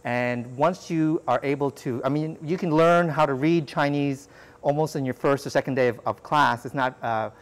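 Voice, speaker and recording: A man whose first language is Cantonese, answering interview questions in a measured, explanatory way with frequent fillers. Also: mid-range voice. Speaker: interview guest, economist.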